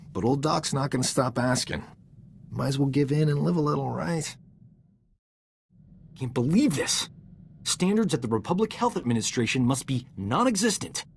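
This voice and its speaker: An actor playing a cocky, flirtatious medic in a video game, smooth-talking and boastful, with a theatrical way of talking. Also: male voice, mid-range voice, slight background noise, close to an everyday voice. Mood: coaxing, then indignant. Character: cocky, flirtatious male medic, self-promoting charming rogue. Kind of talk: video game character dialogue.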